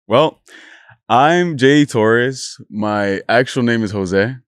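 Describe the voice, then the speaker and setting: A man's voice: medium pitch, lively intonation, slow pace, clear, resonant tone, dry recording, clean studio sound. A man in his early twenties from Honduras, a native Spanish speaker, chatting playfully and informally as a podcast guest. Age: early 20s. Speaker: podcast guest, content creator. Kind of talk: casual interview chat.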